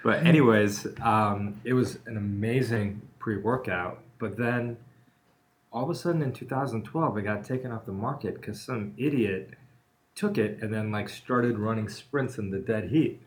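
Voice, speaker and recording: Low-voiced joking male podcast host. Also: normal speed. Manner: joking storyteller, laughs often. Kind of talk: casual chat.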